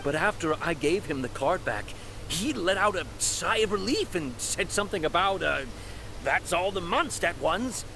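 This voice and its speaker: A man's voice: high voice, animated, moderate pace. A man voicing a flustered, earnest young townsman in a video game, hesitant and a bit defensive. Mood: earnest recounting. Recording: clear noise behind the voice.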